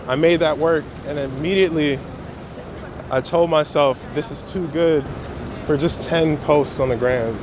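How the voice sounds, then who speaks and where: A man chatting casually and in slang, as a photographer being interviewed. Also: on the high side. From Brooklyn, New York. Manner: slangy, conversational. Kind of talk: casual chat. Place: outdoors on city streets. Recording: clear outdoor city traffic noise.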